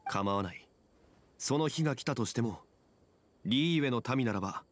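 An actor voicing a calm, dignified ancient male god in human form, unhurried in speech. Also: mid-range voice, slight background noise, lightly acted. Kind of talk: Japanese game dub.

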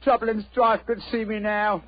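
An actor playing a cheery, pushy furniture remover with a Cockney accent and husky voice, in 1940s radio style. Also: male voice, sits high, level tone, moderate pace, no room sound, natural voice. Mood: chummy, coaxing. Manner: chummy, coaxing, rhyming slang. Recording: muffled old radio recording.

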